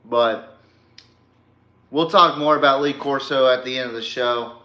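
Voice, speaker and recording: Guy hosting a casual sports stream, talks slow and rambly but punchy, roomy echo on the mic. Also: mid-range voice, sharp tone, muffled recording. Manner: informal, rambling, emphatic. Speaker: podcast host. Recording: echoey room.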